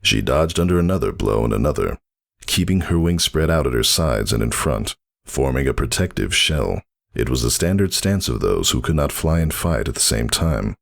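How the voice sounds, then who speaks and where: A man's voice: very deep voice, expressive, moderate pace, gravelly. A man narrating a story as a dramatic reading, solemn and theatrical. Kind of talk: dramatic scripted fan fiction narration.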